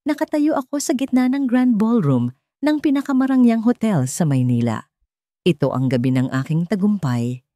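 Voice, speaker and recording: A woman's voice: mid-range voice, big pitch swings, normal speed, dark tone, dry recording. A woman with a Filipino accent reading a scripted story in the first person as a dramatic audiobook narrator.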